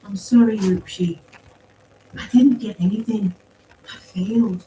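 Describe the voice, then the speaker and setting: A woman's voice: medium pitch, fairly even intonation, measured pace, dark tone, pitched above the performer's own voice, lightly acted. A woman voicing an earnest, insistent woman soldier in an audiobook story. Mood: apologetic. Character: earnest, pleading, indignant woman soldier on a mission. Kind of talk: acted audiobook dialogue. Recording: roomy, distant pickup with faint noise.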